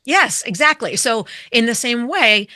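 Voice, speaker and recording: A woman explains vocal range as a guest expert, her bright, clear voice moving up and down to show her points. She asks questions and demonstrates as she talks. The sound is close and dry. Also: a little high, lively intonation, measured pace, dry recording. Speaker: public speaking expert, guest. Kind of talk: explaining and coaching in conversation.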